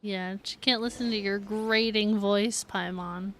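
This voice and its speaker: A woman's voice: medium pitch, steady tone, unhurried. A woman streamer giving casual, chatty, joking commentary as she plays a game.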